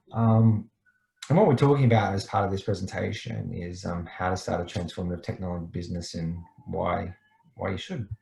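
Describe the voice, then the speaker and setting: Echoey, low-voiced Australian man presenting. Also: gravelly, mellow, slight background noise. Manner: casual, can mumble. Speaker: guest webinar presenter. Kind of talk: informal online presentation. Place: indoor room, online video call. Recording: roomy, echoey sound.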